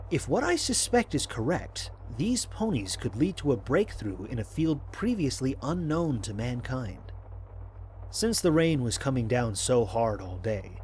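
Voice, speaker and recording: A man plays a patient astronaut explorer in a science fiction audio drama. His mid-pitched voice is gentle and thoughtful, as if keeping a mission log, with faint ambient noise behind it. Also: lightly acted, low-quality recording. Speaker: narrator.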